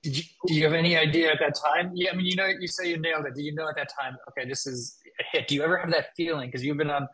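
A man in his thirties hosts an interview over a video call, his voice bright and a touch high. He asks eager, rambling questions, sprinkling them with "like.". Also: steady tone, racing, few pauses, rough recording. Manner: enthusiastic, loose phrasing with many "like"s. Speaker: podcast host. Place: home room with a ceiling fan. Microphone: video call webcam.

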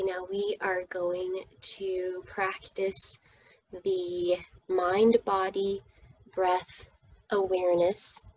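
A woman leading a guided meditation as its teacher, speaking soothingly and often dropping toward a breathy whisper. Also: mid-range voice, dark tone, highs cut off.

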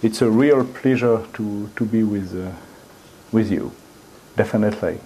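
Middle-aged male, French accent, unhurried academic lecture, hesitant and repetitive phrasing. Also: lowish voice, mellow, a little hiss or hum, low-quality recording. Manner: halting, repeats words while searching for phrasing. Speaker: guest lecturer. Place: university lecture room, indoors.

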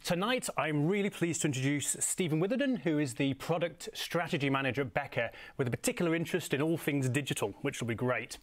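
A man hosting a business interview session, welcoming the guest and posing questions, often repeating words as he starts a question. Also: a little high. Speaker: host, interviewer. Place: dark studio.

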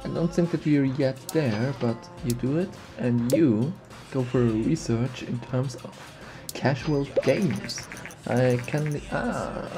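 Man with a sniffly cold, slow musing let's play commentary, gravelly, soft music underneath. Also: mid-range voice. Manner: thinks aloud, muses and repeats himself. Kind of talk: casual gameplay commentary.

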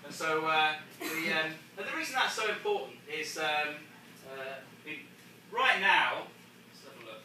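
A man debriefing a group of sailors after race training, in a casual style with frequent hesitations and restarts. His voice is forceful and fairly high. It is picked up from across an echoey room, with faint noise behind. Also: lively intonation, moderate pace, recorded from a distance. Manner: casual, explains with hesitations and restarts, laughs often. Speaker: sailing race coach.

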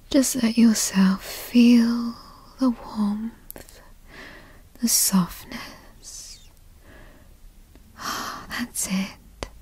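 A woman playing a possessive, doting, teasing girlfriend in a whispered ASMR roleplay, coaxing and cooing in a breathy voice. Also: fairly high pitch, darkish tone, no echo, full character voice. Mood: tender, soothing. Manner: coaxing, cooing, slow and indulgent. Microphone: close studio mic.

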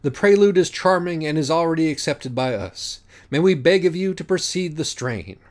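A man's voice: fairly high pitch, lively intonation, normal speed, pitched above the performer's own voice, natural voice. A man voicing a grave, pompous judge of souls, speaking formally and rhetorically in an audiobook. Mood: ceremonious. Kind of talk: mock-classical dialogue in an audiobook.

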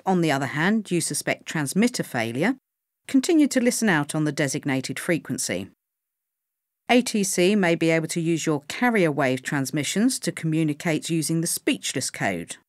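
Woman, instructional narration, clear enunciation, varied intonation, dry studio sound. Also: low voice, no echo. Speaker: narrator of a pilot training course.

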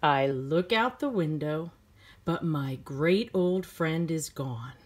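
Slow, lilting woman reading a storybook. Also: deep voice, expressive. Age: middle-aged. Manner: simple, clear phrasing with questions to young listeners. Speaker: storytime host reading a picture book to children. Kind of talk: expressive children's storybook read-aloud.

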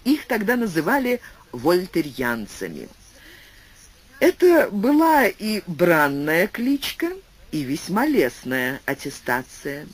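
A slightly husky male narrator reads a long essay for an audiobook. His pitch rises and falls a lot from phrase to phrase, and the even, bookish delivery sounds synthetic. He even speaks the quotation marks aloud. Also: slow.

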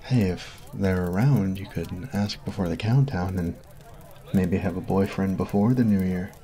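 A man's voice: low-pitched, moderate pace, dark tone, lightly acted. A man voicing a sweet, goofy college jock in an ASMR roleplay, earnest and flustered, close on a binaural mic. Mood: teasing. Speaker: voice actor.

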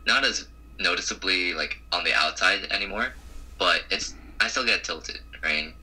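Deep, sharply bright male caller. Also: moderate pace, husky, rough recording. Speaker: coaching student, caller. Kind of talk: casual chat. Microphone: phone call on speakerphone.

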